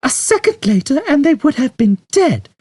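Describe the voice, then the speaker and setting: A man voicing a patient, firm woman aboard a spaceship in an audiobook, bright and compassionate in manner. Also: very high voice, sing-song, moderate pace, no echo, studio quality, voice pushed up. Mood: reproachful.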